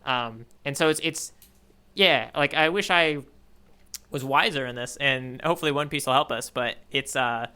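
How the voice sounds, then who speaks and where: An American man co-hosting a podcast in casual chat, reflective and halting as he circles back to rephrase his thoughts. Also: medium pitch, bright, forceful tone, clear, resonant tone. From United States. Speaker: podcast co-host.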